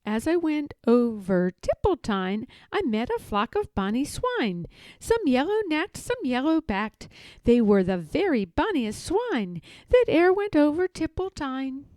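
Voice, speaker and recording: A woman's voice: sits high, big pitch swings, measured pace, darkish tone, dry recording, pitched above the performer's own voice. A woman voicing an impertinent young male squirrel who chants teasing rhymes in a storybook. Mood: gleeful teasing. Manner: sing-song, chanting, taunting. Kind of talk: storybook character voice.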